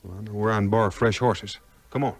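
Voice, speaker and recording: An actor playing an honest, plain-spoken frontier hero with a backwoods Southern American accent in a 1950s audio play. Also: male voice, fairly low pitch, steady tone, fast talker, natural voice. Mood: concerned. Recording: long hall-like reverb.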